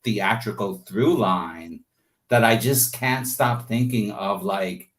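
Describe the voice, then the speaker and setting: A man's voice: fairly low pitch, slow, fairly bright tone. A man talking casually as an interview guest, thinking aloud in long, rambling asides.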